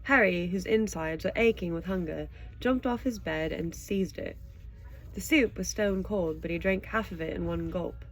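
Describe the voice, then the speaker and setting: A woman's voice: on the low side, normal speed, low-quality recording. A woman reading stories aloud expressively and chatting casually about them. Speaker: audiobook reader and show host. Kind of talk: expressive storybook narration, then casual chat with a child listener.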